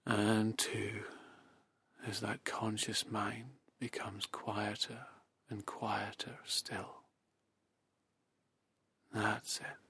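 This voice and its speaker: A man guiding a hypnosis session, speaking softly and slowly in a half-whispered, soothing delivery. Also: fairly low pitch, flat delivery, breathy edge, dry recording. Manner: soft, slow, half-whispered. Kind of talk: guided hypnosis narration.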